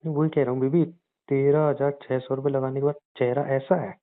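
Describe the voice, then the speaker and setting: A man's voice: mid-range voice, flat delivery, brisk. A young man teasing his girlfriend sarcastically on a phone call while adding up her shopping sums. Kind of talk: teasing scripted phone banter.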